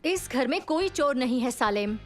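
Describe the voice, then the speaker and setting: High-voiced puzzled cartoon lady. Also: animated, normal speed. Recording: loud music behind the voice.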